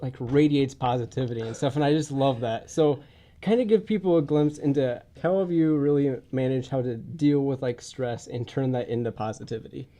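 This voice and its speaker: A man's voice: mid-range voice, dark tone. A young man hosting a podcast in a casual, informal way, congested from a head cold. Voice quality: congested, stuffy nose. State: getting over a head cold. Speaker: podcast host. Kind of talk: casual podcast introduction and interview. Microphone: podcast studio mic, wearing face mask or shield.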